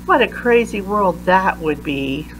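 Slow-paced woman reading a children's book. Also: medium pitch, expressive, a little hiss or hum, low-quality recording. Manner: deliberate, with pauses between phrases. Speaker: read-aloud reader. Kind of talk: children's picture book read-aloud.

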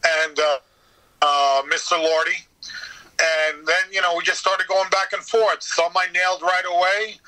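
A man chatting casually as an interview guest, telling stories in an animated way. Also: sits high, unhurried, poor audio. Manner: animated storyteller, slips into acting out bits. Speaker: interview guest, radio theater voice actor. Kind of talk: casual anecdotal interview chat.

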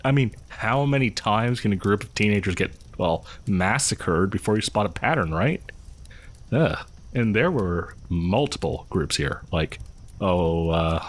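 Man acting a low-voiced, creepily friendly storyteller. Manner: hesitant, rambling, chuckling, conspiratorial. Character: chatty, creepily friendly young male killer posing as partygoer. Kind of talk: horror audio roleplay, casual storytelling to listener.

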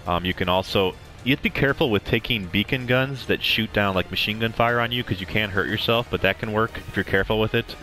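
A man's voice: lowish voice, fast, hardly any pauses, sharp tone. A young man giving casual, rambling commentary over a game walkthrough, with plenty of ums and restarts. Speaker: gameplay commentator. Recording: game music playing under the voice. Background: game music.

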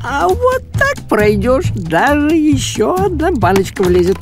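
A man's voice: very high-pitched, wildly swooping pitch, measured pace, exaggerated voice. A man playing a sensible, scheming talking house cat in a cartoon, drawling and bossy. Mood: matter-of-fact. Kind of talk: animated cartoon acting. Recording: music under the voice.

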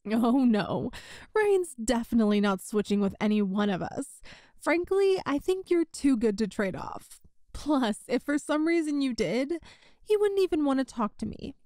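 A woman's voice: high-pitched, big pitch swings, normal speed, a little mellow, dry recording, studio quality. A woman playing a friend's chatty, teasing older sister in a casual, giggly roleplay audio. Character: best friend's older sister, playful, teasing, secretly smitten. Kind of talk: roleplay audio acting, one side of a conversation.

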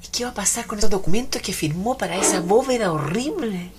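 A woman's voice: medium pitch, expressive, fairly quick, close to an everyday voice. An actress playing a worried woman friend in a radio drama. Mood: shocked. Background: music.

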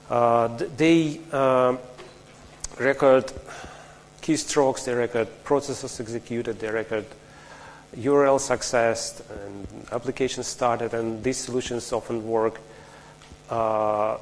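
A man gives a technical talk in a large lecture hall, his voice carrying a long reverb tail. He explains things step by step, often restarting phrases, and turns to the audience with questions. A faint hum sits beneath the room sound. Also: medium pitch. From Russia. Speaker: conference speaker.

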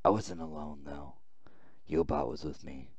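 Guy doing a tired wary young prisoner from a visual novel, really low and subdued, slow, dry sound. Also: steady tone, darkish tone. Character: young male prisoner, weary, wary and confused. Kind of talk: reading visual novel dialogue aloud.

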